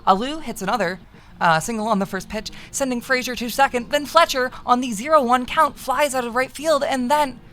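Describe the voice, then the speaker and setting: A woman's voice: high voice, fairly quick, fairly bright tone. A woman playing a young baseball fan in a visual novel, calling plays in an emphatic, clipped, projected delivery. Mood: animated announcing. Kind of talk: play-by-play commentary in visual novel dialogue.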